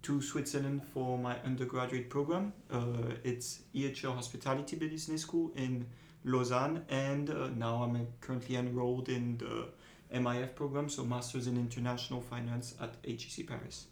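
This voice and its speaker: A man in his twenties who grew up in Asia, a student guest on a podcast, talking in a relaxed, straightforward way. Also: mid-range voice, normal speed, reverberant, slight background noise. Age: 20s. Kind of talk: casual podcast interview.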